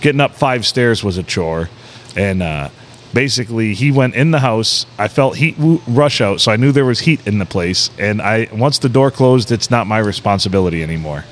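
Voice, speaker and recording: A man's voice: fairly low pitch, lively intonation, moderate pace. A man co-hosting a casual podcast from a small tavern, sounding a bit nasal, joking, laughing and swearing freely. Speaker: podcast co-host. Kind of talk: casual bar podcast banter.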